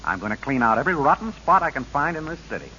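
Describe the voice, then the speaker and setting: A man's voice: mid-range voice, sing-song, rapid-fire, pushed and bright. An actor playing an earnest, resolute lawman who speaks sternly and emphatically in a 1940s radio drama. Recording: hissy, dull old radio broadcast.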